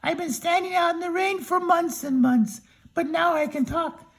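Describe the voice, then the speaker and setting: A man voicing a gentle, grateful tin man in a storybook reading, speaking in a squeaky, very high, thin voice. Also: normal speed.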